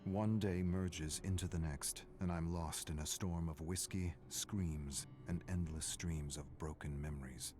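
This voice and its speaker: An actor playing a weary, brooding scholar trapped in an otherworld, narrating video game journal entries in a measured, solemn, formal manner. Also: male voice, low voice, fairly even intonation, darkish tone. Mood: weary, despairing. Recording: music behind the voice.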